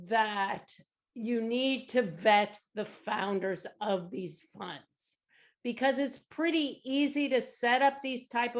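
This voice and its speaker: A woman with a fairly high voice speaks very slowly and deliberately, weighing her words as she adds cautions to the conversation. She sounds like a co-host leading the discussion and putting questions to guests. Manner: measured, cautionary. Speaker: podcast co-host. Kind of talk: casual finance talk.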